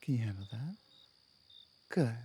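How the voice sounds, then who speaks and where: A woman playing a big, strong female minotaur guard captain in an ASMR roleplay, gruff but protective, firm and teasing, sometimes dropping to a hush. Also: extremely low pitch, lively intonation, unhurried, pitched below the performer's own voice, heavily acted. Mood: calm, reassuring.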